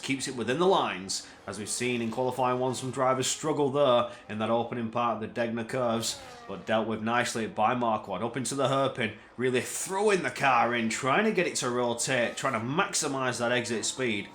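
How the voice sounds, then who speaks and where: A man doing solo live-stream race commentary, energetic play-by-play that climbs in pitch at tense moments, with chatty asides in quiet spells. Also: normal speed, roomy sound, a little hiss or hum.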